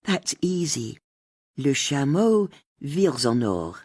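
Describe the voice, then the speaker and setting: A narrator voicing a brisk, confident, determined older woman in an audiobook mystery. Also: mid-range voice, big pitch swings, a little mellow, no echo, studio quality. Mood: matter-of-fact. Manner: brisk, decisive, inquisitive.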